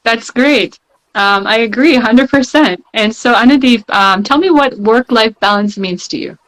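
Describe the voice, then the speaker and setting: Female moderator, a bit high, warm encouraging chat with fillers. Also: moderate pace, rough recording. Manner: encouraging, filler-heavy, warm praise of guests. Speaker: panel host. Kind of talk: moderating an online panel.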